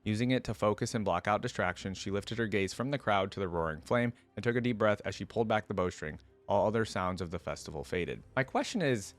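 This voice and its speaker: A man hosting a reaction video, reading story lore aloud and breaking in with casual, conversational commentary. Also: fairly low pitch.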